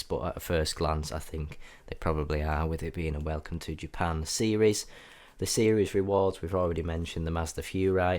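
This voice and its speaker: A man with a slightly croaky voice from a cold, giving a chatty, upbeat voiceover commentary over gameplay as a gaming channel host. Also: a bass voice, a little mellow. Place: voiceover recorded apart from the gameplay.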